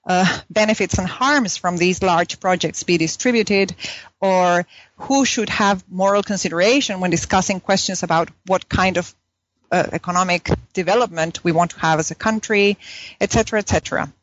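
A woman's voice: on the low side, moderate pace, dry recording, dull audio. A woman from southern Chile, a native Spanish speaker with a Chilean accent, talking conversationally as an academic interview guest. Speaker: academic guest, philosophy professor. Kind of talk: conversational interview, telling own story.

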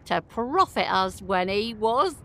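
A woman's voice: medium pitch, expressive, slow, fairly bright tone. A woman playing a scornful, thieving working-class charwoman in an audio drama. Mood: gleeful, brazen.

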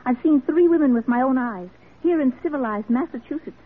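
A woman's voice: high-pitched, brisk, slightly rough. An actress playing an earnest, unyielding woman reformer in a classic radio drama, speaking formally and insistently. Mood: defiant, urgent. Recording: muffled old radio drama recording.

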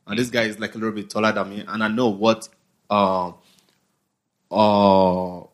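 A man in casual podcast chat, speaking in a relaxed, hesitant way with frequent fillers. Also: on the low side, moderate pace.

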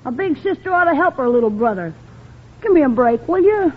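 Eager little schoolboy, super high swoopy voice, wheedling, muffled old radio show. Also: big pitch swings, fairly quick. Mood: pleading. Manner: pleading, exclaiming, wheedling. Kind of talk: old-time radio comedy acting. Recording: dull vintage radio recording.

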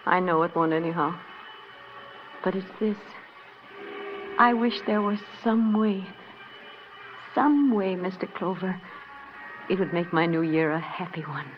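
An actress playing a scheming, brittle, murderous widow with a melodramatic manner in a vintage radio crime drama. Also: female voice, on the low side, a little slow, long pauses, lightly acted. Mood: bitter longing. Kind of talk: 1940s-50s radio noir acting. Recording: dull, noisy old radio recording.

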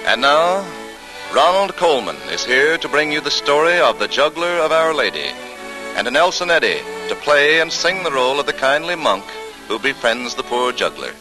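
Animated man announcing an old-time radio show. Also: on the high side, moderate pace, low-quality recording. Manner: polished, grand showman delivery. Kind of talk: formal 1940s radio show introduction. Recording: old radio broadcast with orchestral music. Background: music.

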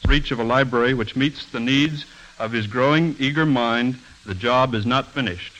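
A man's voice: medium pitch, normal speed, fairly bright tone, slight background noise, poor audio. An American man earnestly reading a formal, scripted 1940s radio appeal as a guest speaker, on a vintage broadcast. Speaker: guest speaker for a library association.

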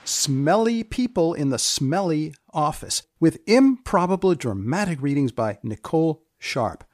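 A man hosting a podcast, narrating in a deliberate, deadpan way with phrases repeated for emphasis. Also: a little high. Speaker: podcast host. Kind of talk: scripted humorous narration of research studies.

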